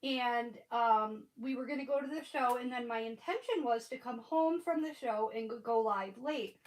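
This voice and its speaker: A woman's voice: on the high side, normal speed. A woman hosting a casual crafting livestream from home, chatting and rambling with a stuffy, sniffly head cold. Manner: chatty, rambling, laughs often.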